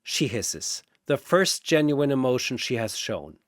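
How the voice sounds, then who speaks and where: A man narrates a dramatic scripted battle tale. His delivery is slow with lively swings in pitch, and the recording is very dry and close. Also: dry recording.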